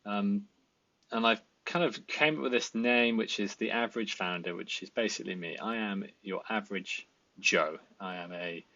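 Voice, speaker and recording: Man, 30s, Welsh accent, rambling, lots of fillers, lowish voice, dry dull headset sound. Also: moderate pace, sharp tone, no room sound. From Wales. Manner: rambling, many fillers and restarts. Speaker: designer and part-time founder. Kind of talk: casual self-introduction vlog. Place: indoor home room at a desk.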